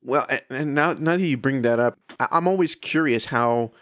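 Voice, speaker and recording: A man hosts a casual interview in a conversational register. He feels his way through questions, halting and rewording them as he goes. The sound is dry, with little room around the voice. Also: mid-range voice, a bit bright, no room sound.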